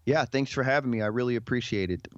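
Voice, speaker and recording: A man's voice: medium pitch, quick pace, dry recording, lo-fi sound. A man in his thirties from Texas talking informally and reflectively as an interview guest. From Dallas, Texas, United States. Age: 30s. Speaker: podcast guest. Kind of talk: conversational interview, telling personal history.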